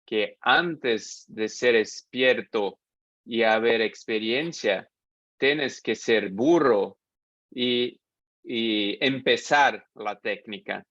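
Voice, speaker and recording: A man's voice: mid-range voice, fairly bright tone, no room sound. A man from Brazil with a Brazilian Portuguese accent, a native Portuguese speaker, thanking his hosts as guest speaker at the end of a webinar, hesitating often and mixing in Portuguese. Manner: halting, many hesitations, mixes Portuguese into Spanish. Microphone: video call. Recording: dull, dry video call audio.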